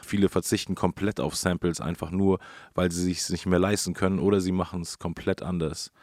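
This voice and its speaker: A man's voice: sits low, steady tone, brisk, a little mellow, no echo, clean studio sound. A man hosting a radio show, talking casually about music history in colloquial, hesitant phrasing. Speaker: radio host. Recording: music playing behind the voice.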